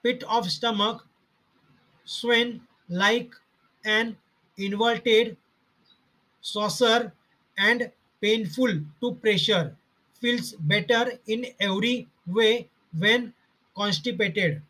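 A man with a high, bright voice reads through medical study notes. He goes slowly and halting, breaking each list into short fragments with pauses between them. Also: rough recording. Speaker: homeopathy study narrator. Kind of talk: slow reading of study notes.

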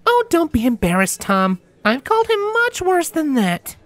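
A man voicing a calm, sardonic woman who teases in a dry way, in a story. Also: extremely high pitch, normal speed, no room sound, voice pushed up, exaggerated voice. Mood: dry, teasing. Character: dry, unflappable woman, teasing ex-wife.

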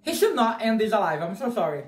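A Brazilian man in his thirties whose native language is Portuguese, reacting to a horror movie and speaking English with a Brazilian Portuguese accent in a gushing, excitable way, swearing casually. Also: high voice, quick pace, roomy sound, faint music behind. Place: small bedroom, indoors. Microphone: wired earphone mic.

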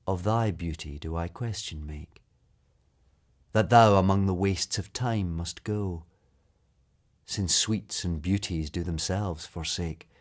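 A male actor with a low voice recites poetry slowly and solemnly. His phrasing is deliberate and expressive, shaped for meaning more than metre. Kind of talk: dramatic, expressive verse recitation.

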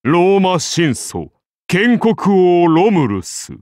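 Majestic, slow-spoken Roman god-king. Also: high-pitched, very animated, darkish tone, no room sound, clean studio sound, exaggerated voice. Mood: solemn, grand. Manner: grand, solemn, archaic declamation. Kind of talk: anime game character voice lines.

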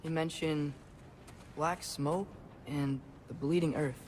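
A man's voice: on the high side, steady tone, takes it slowly, a little mellow, slight background noise. An actor playing an earnest, eager teenage boy explaining things in a video game cutscene, slightly hesitant. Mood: defensive, earnest.

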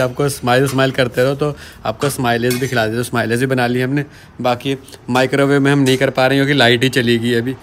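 Guy joking around on a phone vlog, mid voice, bit of noise behind. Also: medium pitch. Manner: playful, teasing, chatty. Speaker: vlogger. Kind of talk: casual cooking vlog chat.

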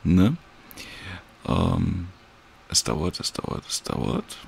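Very deep, gravelly, slow-talking man. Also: very animated, slight background noise. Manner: informal, hesitant, unhurried. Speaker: audiobook creator giving a download tutorial. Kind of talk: casual screen-recording walkthrough.

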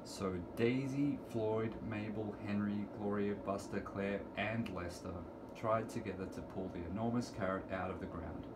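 A middle-aged man reading a children's picture book aloud to preschoolers in deliberate, sing-song storytelling phrasing, recorded in a room at home. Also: fairly low pitch, steady tone. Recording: clearly noisy home recording, room ambience.

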